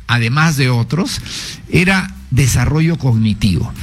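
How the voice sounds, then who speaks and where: An older man, a former education minister speaking as a radio guest, explaining in a measured, professorial way and citing sources. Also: mid-range voice, expressive.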